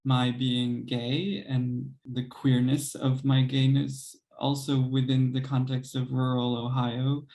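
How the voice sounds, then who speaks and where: A young man and composer, speaking thoughtfully in an interview, hesitant with many pauses and soft laughs. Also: medium pitch, monotone. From United States. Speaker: composer and pianist guest. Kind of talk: reflective interview conversation.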